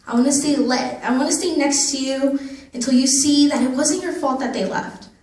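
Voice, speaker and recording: High-voiced young woman reciting poetry, very echoey. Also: darkish tone. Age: young adult. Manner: earnest, dramatic delivery with drawn-out phrases. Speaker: poet. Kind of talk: spoken-word poetry recital. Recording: echoey room, distant microphone.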